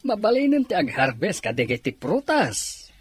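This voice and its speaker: Man as kindly, animated father figure. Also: high-pitched, moderate pace. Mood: agreeable. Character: kindly, obliging man, farm boss and father. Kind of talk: dramatized audio story acting.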